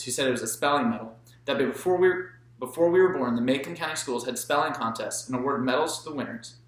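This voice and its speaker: A man's voice: mid-range voice, sharp tone. A man narrating a novel aloud in a dramatized audiobook style. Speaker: amateur audiobook reader. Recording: very echoey room.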